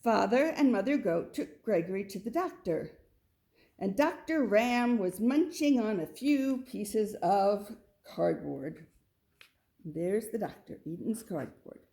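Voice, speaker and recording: A woman's voice: medium pitch, animated, slow, creaky, dark tone. A woman reading a picture book aloud to children in a storytelling style.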